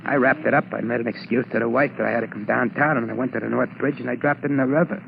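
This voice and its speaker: Worried, gravel-talking ex-con on old radio. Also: male voice, medium pitch, steady tone, very fast. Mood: grim, anxious. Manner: slangy, uneducated tough-guy speech, hesitant. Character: worried, fearful male ex-convict safecracker turned candy-store keeper. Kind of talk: 1940s radio drama acting. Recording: muffled, noisy 1940s radio broadcast.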